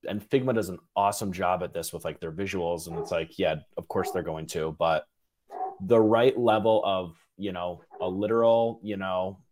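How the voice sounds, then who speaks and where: Male interview host, low-pitched voice, conversational, with loose and meandering phrasing. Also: normal speed, darkish tone. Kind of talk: casual interview chat.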